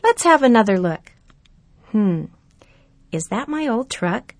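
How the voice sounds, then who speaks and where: A woman voicing a mild, forgetful old grandfather in a storybook, speaking slowly and musing. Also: fairly high pitch, very animated, a little mellow, no room sound, full character voice.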